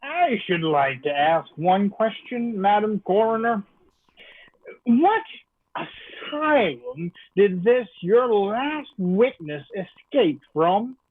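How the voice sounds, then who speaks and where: Actor as slow rustic old jury foreman, phone-like sound. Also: sits high, very animated, heavily acted. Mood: sardonic, challenging. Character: gruff, coarse sixty-year-old jury foreman, rural and sardonic. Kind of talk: old time radio drama acting. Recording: thin phone-like remote line, roomy.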